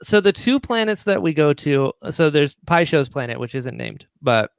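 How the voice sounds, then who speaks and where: A man talking casually as a podcast guest, with an animated, geeky enthusiasm. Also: on the high side, normal speed, gravelly, smooth, no room sound, studio quality. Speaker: guest, audio drama producer.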